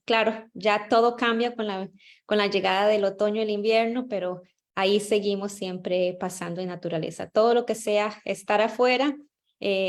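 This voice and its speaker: A woman with a Costa Rican accent speaks as an interview guest. Her pitch is average for a woman. She talks conversationally and reflectively, often circling back to rephrase. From Costa Rica. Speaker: guest psychologist.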